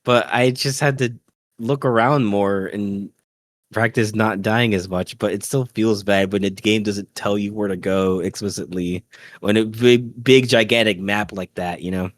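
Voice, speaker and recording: A man's voice: on the low side, steady tone, a little slow, no echo, studio quality. A man chatting informally as a podcast co-host about games, griping in an offhand, conversational way. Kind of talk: casual chat about video games.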